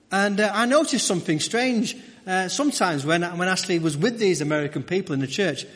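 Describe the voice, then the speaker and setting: Guy preaching, middle aged, kinda high voice, halting and repeats himself, big echoey hall. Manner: halting, repeats words and phrases. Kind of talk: church sermon, conversational. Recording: echoey hall with long reverb.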